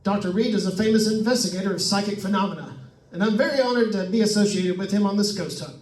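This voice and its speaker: A man's voice: high voice, fast talker, a little mellow, natural voice. A man playing a chatty, nervous radio ghost hunter in old-time radio drama style, with stammering announcer patter. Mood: nervous showmanship. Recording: roomy, distant-miked stage recording.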